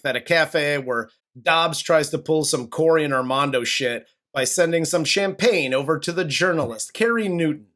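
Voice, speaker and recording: A man's voice: on the high side, bright, forceful tone. A man co-hosting a casual movie review podcast, recapping the plot with jokes. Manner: joking, recaps plot with mock voices. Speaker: podcast co-host.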